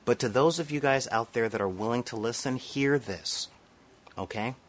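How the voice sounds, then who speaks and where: Middle aged american guy ranting to camera, earnest and emphatic, mid pitched voice. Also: normal speed. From South Central Los Angeles, United States. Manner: emphatic, earnest, repeats phrases for stress. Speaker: vlogger. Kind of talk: unscripted opinion rant to camera.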